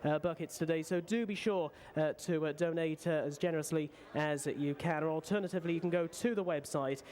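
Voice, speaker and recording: High-pitched male race announcer. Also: a little fast, a little mellow. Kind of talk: live horse race commentary over PA.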